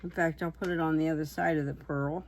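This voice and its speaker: A hoarse woman who is losing her voice, chatting casually and unhurriedly as she makes bead crafts on a vlog. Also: sits low, steady tone, quick pace, a little hiss or hum. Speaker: craft vlogger.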